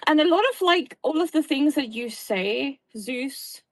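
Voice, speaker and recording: A woman's voice: high voice, animated, measured pace, no echo. A woman with a South African accent in casual chat, earnestly explaining things at length. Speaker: guest.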